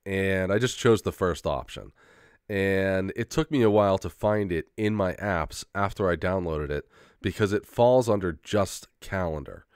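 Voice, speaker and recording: A young man with a very low, smooth and resonant voice talks in a loose, rambling way, stopping and restarting often and swearing casually. He is getting over a head cold and coughs now and then. The sound is dry and close, with no room around it. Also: normal speed, no echo. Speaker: vlogger.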